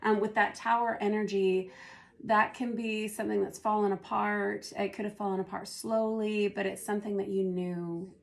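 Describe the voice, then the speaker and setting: A hoarse woman who is losing her voice, doing a casual tarot reading and thinking aloud as she turns the cards. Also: medium pitch, level tone, normal speed. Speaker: tarot reader.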